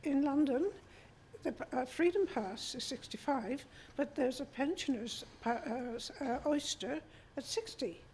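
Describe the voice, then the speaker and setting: Soft-spoken woman in her seventies, high voice. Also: measured pace, a little hiss or hum. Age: 70s. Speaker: audience member. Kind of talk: question-and-answer comment.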